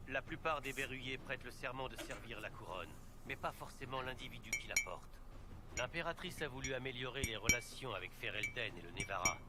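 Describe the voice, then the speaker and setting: An actor playing a composed male advisor in a fantasy video game, giving formal, measured explanations. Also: medium pitch, natural voice. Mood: composed, explanatory. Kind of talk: scripted game dialogue, French dub. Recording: very noisy game audio capture.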